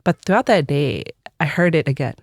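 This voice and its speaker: A woman's voice: low voice, expressive, a little fast, gravelly, a little mellow, no room sound, studio quality. A woman in her forties from the United States, a religious sister telling her personal story in an interview, earnest and reflective.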